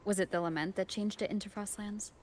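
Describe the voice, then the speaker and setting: A woman's voice: mid-range voice, fairly even intonation, fairly quick, a little mellow, a little hiss or hum, lightly acted. An actress playing a curious young female traveler in a video game, asking short questions. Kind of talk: scripted video game story dialogue.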